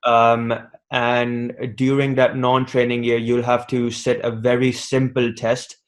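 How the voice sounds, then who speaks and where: Flat-voiced man advising on a video call. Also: mid-range voice, a little slow. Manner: hesitant, many ums and restarts. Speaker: doctor answering questions on a webinar panel. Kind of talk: casual advice on a video call.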